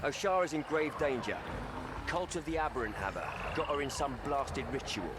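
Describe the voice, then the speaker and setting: An actor playing a passionate, headstrong knight in a video game, with a forceful, plain-spoken delivery. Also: male voice, medium pitch, animated, moderate pace, very noisy, lightly acted. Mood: rallying. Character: passionate, headstrong, loyal male dragon-riding knight. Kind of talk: scripted video game dialogue.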